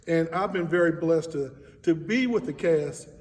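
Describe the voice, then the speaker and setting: A man's voice: on the high side, normal speed, slight background noise. A man speaking casually as an interviewed director, earnest and emphatic, often repeating his words. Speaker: stage play director being interviewed. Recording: echoey hall, distant mic.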